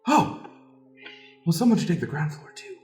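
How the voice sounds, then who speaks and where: A woman's voice: fairly low pitch, sing-song, normal speed, warm tone. A woman improvising comic dialogue in a radio play. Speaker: improv performer. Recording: roomy echo with music behind.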